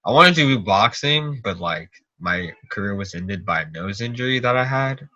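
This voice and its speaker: A man's voice: on the low side, animated, moderate pace, pushed and bright, no echo. A teenage young man chatting casually as a podcast guest, telling anecdotes in an informal, slangy, rambling way on a slightly muffled recording. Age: teens.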